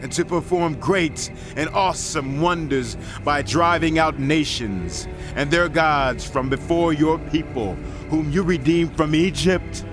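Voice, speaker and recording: Devout king, scripture drama, unhurried pace, earnest, humble, reverent, music behind. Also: fairly high pitch. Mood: fervent praise.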